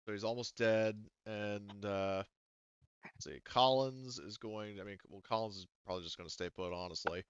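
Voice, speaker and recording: Low, smooth-voiced man running a game. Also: moderate pace, no echo, muffled recording. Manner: rambling, joking, conversational. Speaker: game master. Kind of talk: casual improvised narration of a tabletop game.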